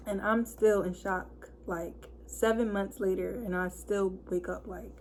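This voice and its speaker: A hoarse woman of twenty-one, chatting casually to camera and packing her talk with fillers. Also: medium pitch, takes it slowly, mellow, slight background noise. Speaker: vlogger. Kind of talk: casual story time chat.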